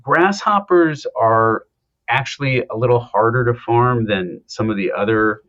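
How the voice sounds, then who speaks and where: A male professor from Ohio, answering interview questions in a relaxed, explanatory way, pausing often with ums. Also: medium pitch, dull audio. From Ohio, United States. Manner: explanatory, hesitant with many ums. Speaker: anthropology professor, guest. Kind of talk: conversational interview.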